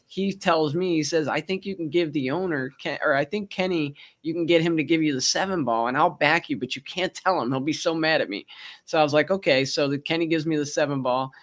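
A man's voice: on the high side, brisk, a bit bright. Chatty Baltimore-accented man. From Baltimore, Maryland. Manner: chatty storyteller, laughs often. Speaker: co-host. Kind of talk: casual livestream chat.

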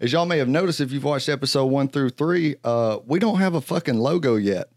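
A man's voice: medium pitch, fairly quick, no room sound, clean studio sound. A man co-hosting a casual podcast, joking and rambling with lots of fillers. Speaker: podcast co-host. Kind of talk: casual chat.

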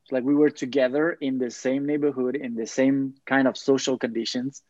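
A man's voice: mid-range voice, level tone, normal speed, a little mellow, dry recording, lo-fi sound. A man from France, a guest sharing personal history thoughtfully, hesitating with fillers and restarts. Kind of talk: casual personal storytelling in interview.

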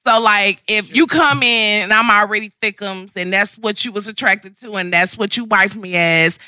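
A woman's voice: mid-range voice, bright, forceful tone, dry recording. A young woman co-hosting a podcast, frank and opinionated in a casual group chat, often jumping in. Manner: frank, opinionated, quick to interject. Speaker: podcast co-host.

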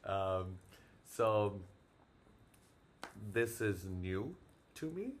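A man's voice: fairly low pitch, very animated, extremely slow, slight background noise. A man chatting as a podcast guest, laid-back and casually swearing.

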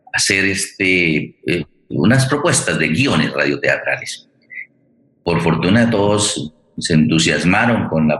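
Male host, low-pitched voice, formal welcoming introduction, hesitant repeated phrases, roomy echo. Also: a bit bright. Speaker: host of a radio theatre programme and playwright. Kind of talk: spoken introduction to a live online forum. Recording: roomy, echoing sound.

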